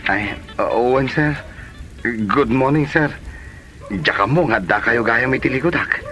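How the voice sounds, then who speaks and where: A man's voice: mid-range voice, unhurried, sharp tone, faint music behind. A man playing a young mechanic and suitor in a comedy drama, by turns boastful and awkwardly deferential. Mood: nervous, respectful. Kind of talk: Ilocano comedy drama acting.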